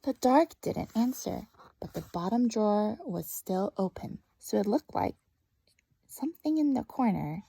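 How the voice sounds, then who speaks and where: A woman reading a story aloud to young children as a teacher, often dropping into hushed, breathy asides. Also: mid-range voice, animated, measured pace, rough recording. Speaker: first grade teacher reading a picture book aloud.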